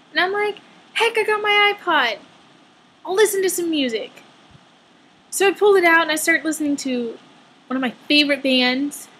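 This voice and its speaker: A woman's voice: sits high, fairly bright tone, rough recording. A woman telling a personal story casually, dramatically acting out dialogue with a sarcastic edge.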